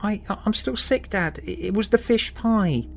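Man voicing a frail, pleading schoolboy, shifted high. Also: unhurried, gravelly, soft background music. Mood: weak, pleading. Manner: stammering, faltering. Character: sick young schoolboy, weak, frightened and pleading.

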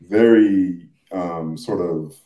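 A man in a casual podcast discussion who talks haltingly, searching for his words. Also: sits low, dark tone, roomy sound, muffled recording. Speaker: podcast speaker.

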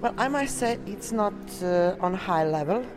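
A woman from Serbia with a Serbian accent, answering interview questions in halting, non-native English as a delegate. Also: medium pitch, animated, over music. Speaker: coeliac association delegate being interviewed.

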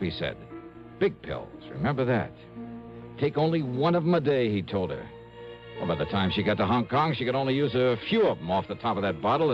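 A man's voice: medium pitch, close to an everyday voice. An actor playing a wry, hard-boiled male investigator in an old radio detective drama. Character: wry, dogged male insurance investigator hero. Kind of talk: 1950s-60s radio detective acting and narration. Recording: dull vintage radio recording, music behind.

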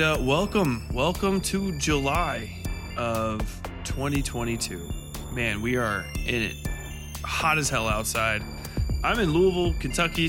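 An American man hosts a podcast alone, chatting casually and wandering from thought to thought. His voice is mid-pitched with a bright, forceful edge, and his manner is irreverent, sweary and self-deprecating. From Cincinnati, American Midwest. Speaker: podcast host. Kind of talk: casual rambling solo chat.

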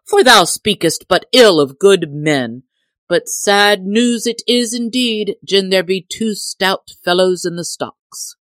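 A woman's voice: mid-range voice, lively intonation, slow, fairly bright tone, dry recording, voice pushed up. A woman voicing a hot-tempered, hearty male tinker in emphatic, archaic storybook speech. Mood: indignant.

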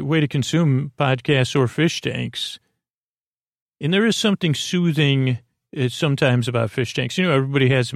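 Gravelly, meandering male podcast host. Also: mid-range voice, no room sound, clean studio sound. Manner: meandering, halting, repeats himself, goes off on tangents. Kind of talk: rambling bedtime podcast intro, casual monologue.

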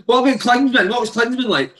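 A man's voice: extremely high pitch, quick pace, a bit bright. A man working as an interviewer, asking casual questions about football, recorded with a roomy, echoey sound.

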